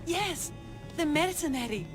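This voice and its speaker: An actress playing a desperate Greek townswoman who begs haltingly for mercy in a video game. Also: very high-pitched, expressive, over music. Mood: pleading. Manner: pleading, halting. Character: frightened, desperate female Greek citizen begging for mercy.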